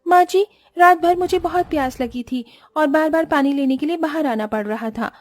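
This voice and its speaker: Woman doing a bratty rich young bride: high, loud, demanding and scornful. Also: moderate pace, dry recording, faint music behind, close to an everyday voice. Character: spoiled, rich, rude young daughter-in-law.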